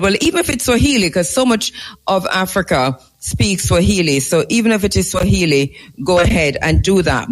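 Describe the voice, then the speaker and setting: A man hosting a conversational live radio talk, speaking reflectively and haltingly, repeating words for emphasis. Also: fairly high pitch, rough recording. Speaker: radio host.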